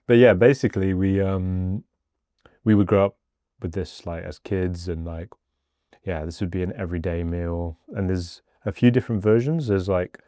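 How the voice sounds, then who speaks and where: A man's voice: low voice, normal speed, no room sound, clean studio sound. A male podcast host chatting easily with his guest, filling his sentences with lots of "like". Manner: relaxed, many filler likes. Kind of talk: casual chat.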